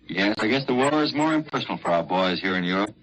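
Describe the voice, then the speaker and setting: A man's voice: lowish voice, animated, fast, a bit bright. An American man conducting a chatty 1940s radio interview in a folksy, conversational way. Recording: muffled, slightly noisy 1940s radio.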